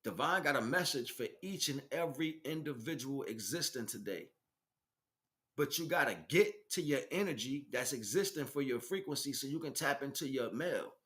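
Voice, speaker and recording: A man's voice: mid-range voice, lively intonation, moderate pace, a bit bright. An American man delivering an informal spiritual monologue as a teacher, speaking emphatically in streetwise slang and addressing listeners directly.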